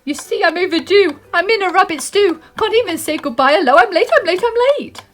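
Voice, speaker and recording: British lady doing a panicky fussy boy rabbit from a storybook, really high and flustered. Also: faint music behind. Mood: flustered, bossy. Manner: hurried, flustered exclamations.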